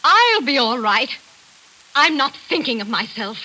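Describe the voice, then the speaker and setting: Domineering middle-aged mother, bright forceful tone, theatrical, bitter, 1940s radio drama, light hiss. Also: sits high, sing-song, unhurried, heavily acted, rough recording. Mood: indignant. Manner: theatrical, overbearing. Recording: hissy 1940s radio broadcast.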